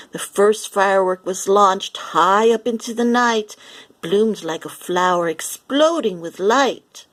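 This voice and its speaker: A woman reading a picture book aloud for young children, animated and deliberate, acting out the lines as she goes. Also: medium pitch. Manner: animated, deliberate, acts out lines and sound effects. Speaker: children's story reader.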